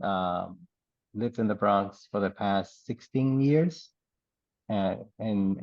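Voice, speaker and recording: A middle-aged man from the Dominican Republic and Puerto Rico, an artist in residence, telling his life story in a relaxed, rambling way with many fillers and laughs. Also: on the low side, no echo, muffled recording. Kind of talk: oral history interview.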